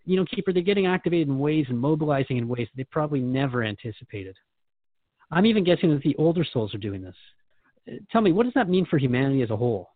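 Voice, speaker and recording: Male podcast host, animated pitch, quick pace, earnest questioning manner, reflective interview style. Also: dry recording, narrow, tinny audio.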